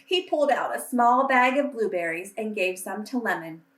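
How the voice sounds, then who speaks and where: A woman reading a children's story aloud expressively, with deliberate phrasing meant for young listeners. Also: mid-range voice, lively intonation, a bit bright. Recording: roomy echo, distant microphone.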